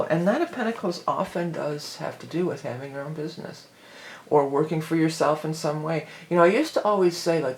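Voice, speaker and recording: A hoarse woman giving a casual, rambling tarot reading, full of fillers and restarts. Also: low-pitched, darkish tone, roomy sound. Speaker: tarot reader.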